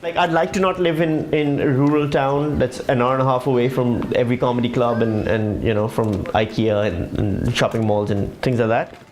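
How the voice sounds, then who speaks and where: A man's voice: mid-range voice, fairly even intonation, moderate pace, hardly any pauses, darkish tone, a little hiss or hum. A man, a stand-up comedian telling his story in a conversational, wry way with dry punchlines. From Pakistan. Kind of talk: documentary interview and stand-up set.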